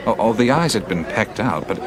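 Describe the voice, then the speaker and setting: An actor in a radio drama playing a thoughtful, troubled adventurer who speaks in a hesitant, musing way. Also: male voice, fairly low pitch, expressive, brisk, crowd noise, natural voice. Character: thoughtful, troubled male adventurer seeking answers.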